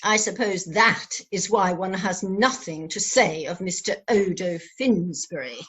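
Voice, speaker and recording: A woman's voice: fairly low pitch, measured pace, fairly bright tone, breathy edge. A woman playing a disapproving upper-class Edwardian lady in an amateur radio play, formal, dry and judgmental in manner.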